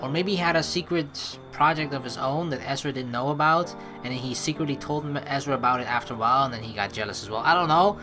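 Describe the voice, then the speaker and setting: A woman's voice: very deep voice, fast, few pauses, music in the background. A woman commentating over a game as a let's play host, rambling and swearing casually. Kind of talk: game commentary mixed with reading dialogue aloud.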